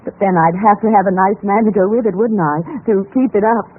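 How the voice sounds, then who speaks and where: A woman's voice: mid-range voice, a little fast, breathy edge, close to an everyday voice. Casting: woman to play a courteous, mannered lady in a radio mystery, theatrical period delivery, tinny old recording. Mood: polite, eager. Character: two women: polite housewife and eager young saleswoman. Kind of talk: 1940s-50s radio drama acting. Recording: thin, noisy vintage radio recording.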